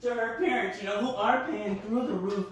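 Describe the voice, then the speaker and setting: A roomy, distant recording of a woman playing a frazzled groom in a stage monologue. The delivery is exasperated and emphatic, rambling on in agitation at a middling pitch. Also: moderate pace, natural voice. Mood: fretful. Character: exasperated, frazzled groom recounting wedding family squabble. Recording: roomy echo, distant microphone.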